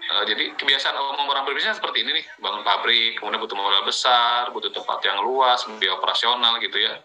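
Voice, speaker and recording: A man's voice: medium pitch, fast, sharp tone, rough recording. A man giving an informal, explanatory sales presentation, talking conversationally and often checking in with his listeners using small tag words. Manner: conversational, repeats filler tags to check in with listeners. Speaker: presenter of an affiliate marketing training.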